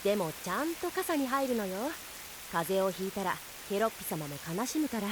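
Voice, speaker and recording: A woman playing a gentle mother in an anime audio drama. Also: medium pitch, sing-song, fairly quick, lightly acted. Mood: tender, cheerful. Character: gentle mother among the townspeople. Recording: hissing artificial rain noise.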